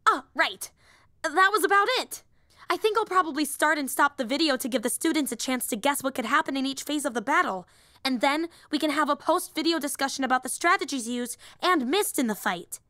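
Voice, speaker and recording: A woman's voice: high-pitched, animated, a little fast, sharp tone, no echo, studio quality. A woman voicing a young, nerdy female hero fan and teacher in an audio roleplay, eager, rambling and easily flustered. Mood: earnest, rambling.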